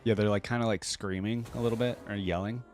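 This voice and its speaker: A man's voice: on the low side, steady tone, fast, a little mellow, faint music behind. A young man hosting a reaction video, giving casual, chatty commentary.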